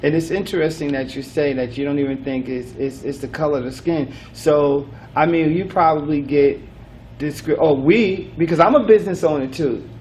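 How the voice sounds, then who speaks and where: A man's voice: on the high side, normal speed, a little mellow, a little hiss or hum. A man hosting a local business talk show, talking in a loose, rambling way with many restarts. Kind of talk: casual interview chat.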